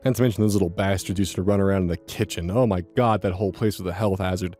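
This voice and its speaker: Chatty young man with a mellow voice. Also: low voice, fairly even intonation, quick pace, soft background music. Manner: rambling, chatty, swears, repeats words. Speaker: host reading and reacting to online posts. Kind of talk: casual commentary with story reading.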